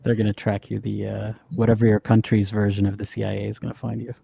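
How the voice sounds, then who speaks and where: A man hosting a live stream with callers, reading out chat comments and giving his opinions in a relaxed, casual way. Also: fairly low pitch, fast, gravelly, breathy edge, dry recording. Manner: relaxed, reads out chat comments and muses aloud. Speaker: livestream host taking callers.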